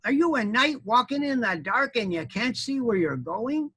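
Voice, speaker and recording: A man's voice: very high-pitched, expressive, normal speed, fairly bright tone, breathy edge, pitched above the performer's own voice, full character voice. A man voicing a grumpy, indignant armadillo in a children's story, complaining as he talks. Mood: pained complaining. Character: grumpy armadillo stepped on by a knight.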